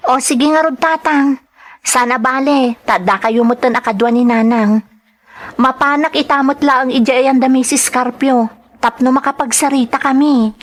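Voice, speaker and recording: A woman's voice: sits high, fairly even intonation, normal speed. A woman playing a caring, worried adult daughter in an earnest, pleading drama style. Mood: curious, agreeable. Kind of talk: Ilocano radio-style drama acting.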